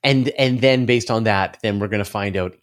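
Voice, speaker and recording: A man giving explanatory answers as an interview guest on a podcast, halting as he thinks aloud. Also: fairly low pitch. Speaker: interview guest, space commentator.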